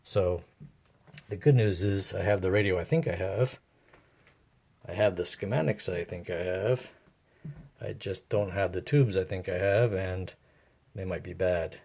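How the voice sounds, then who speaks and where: A man narrating his own hands-on repair work casually and unscripted, thinking aloud in a halting way. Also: sits low, creaky, a little mellow, husky. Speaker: host of a radio repair vlog.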